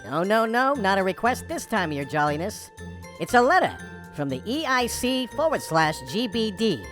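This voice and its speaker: Eager, deferential holiday sidekick, medium pitch, very animated, wry and placating, for audio drama. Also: female voice, normal speed, faint music behind. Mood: chipper.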